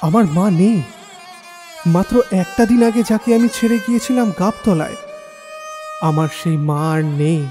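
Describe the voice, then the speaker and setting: A man's voice: high-pitched, a little slow, pauses often, mellow. An actor playing a troubled young man who narrates his own ghostly tale in a dramatic audio story. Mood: stunned grief. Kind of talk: dramatic first-person horror story narration. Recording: long reverb, faint music bed.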